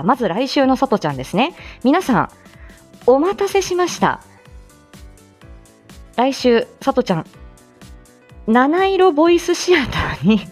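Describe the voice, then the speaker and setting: A woman hosting a casual live morning audio show, chatting to listeners in a lively, expressive way with frequent laughter. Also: sits high. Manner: chatty, laughs often while talking. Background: background music.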